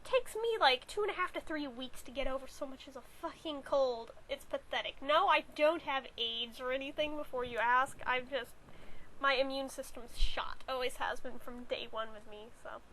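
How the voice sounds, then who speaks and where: A young woman from California, hoarse and stuffy with a cold, chatting in a rambling way to her camera in her bedroom. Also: sits high, normal speed, fairly bright tone, a little hiss or hum, low-quality recording. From California, USA. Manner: chatty, rambling, wry asides. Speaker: vlogger. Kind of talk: casual chat to camera.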